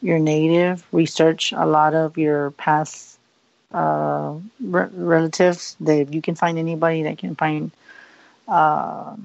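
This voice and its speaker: A man's voice: on the high side, level tone, takes it slowly, gravelly, no room sound, highs cut off. A man working as a psychic medium on a live radio show, giving a hesitant reading full of fillers and repeated likes.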